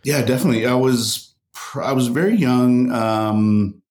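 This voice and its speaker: A man from El Paso, Texas, a guest on a podcast, telling stories in an easygoing way with pauses. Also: medium pitch, darkish tone. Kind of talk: casual interview.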